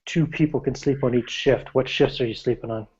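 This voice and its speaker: A man's voice: medium pitch, steady tone, a little fast, a little mellow, slightly rough, dull audio. Laid-back man running a tabletop game. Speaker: game master.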